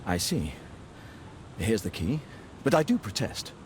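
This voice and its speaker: An actor playing a stuffy, defensive club gentleman in a video game. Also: on the low side, big pitch swings, unhurried, a little hiss or hum. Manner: formal, indignant. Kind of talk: video game cutscene acting.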